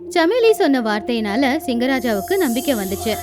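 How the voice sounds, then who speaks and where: High-voiced woman telling a fable. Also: big pitch swings, moderate pace. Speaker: narrator. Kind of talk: animated children's fable storytelling.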